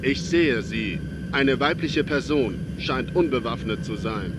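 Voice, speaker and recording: A man's voice: mid-range voice, animated, unhurried, close to an everyday voice. A man playing a businesslike police mission commander in a German audio drama, giving terse military orders. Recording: clear aircraft noise behind.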